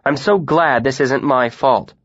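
A man voicing a proud, sharp-tongued young woman who speaks with biting scorn. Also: mid-range voice, measured pace, dry recording, dull audio, natural voice. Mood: scornful anger.

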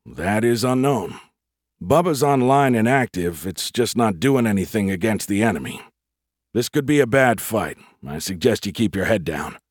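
A man's voice: lowish voice, animated, normal speed, slightly rough, no room sound, studio quality. A man voicing a chatty, frank artificial intelligence with slangy, breezy talk in an audiobook. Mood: matter-of-fact warning. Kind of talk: audiobook character voice.

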